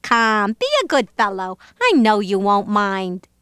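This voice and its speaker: A woman's voice: mid-range voice, animated, unhurried, a bit bright, clean tone, no echo, studio quality. A woman voicing a lazy, flighty mother bird from a children's storybook, coaxing in a sing-song pleading way.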